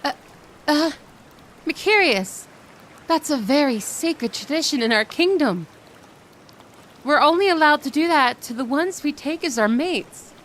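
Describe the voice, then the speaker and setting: A woman's voice: high voice, lively intonation, unhurried, pauses often, fairly bright tone, a little hiss or hum. A woman playing a shy, kind-hearted mermaid in a roleplay audio drama, with a flustered, hesitant, stammering manner.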